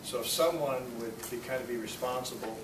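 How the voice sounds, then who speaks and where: A man's voice: mid-range voice, a little fast. A man informally explaining arrangements to a group as one of the organizers. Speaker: club member organizing a script performance. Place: meeting room, recorded from a distance. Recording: echoey room, distant mic, clear noise.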